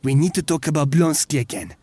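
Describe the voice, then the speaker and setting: A man's voice: on the high side, expressive, a little fast, warm tone, slightly rough. An actor playing a young, angry male soldier in an audio drama, speaking curtly and indignantly. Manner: curt, indignant.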